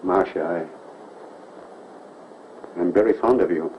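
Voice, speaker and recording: A man's voice: low-pitched, slow. An actor playing a jealous male admirer who makes veiled threats, in a 1930s film. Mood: brooding. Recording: muffled old film soundtrack with faint hiss.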